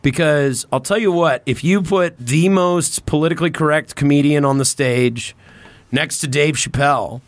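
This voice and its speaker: A man's voice: fairly high pitch, moderate pace, no echo. A man talking casually on a podcast as a host, opinionated and emphatic in how he makes his points.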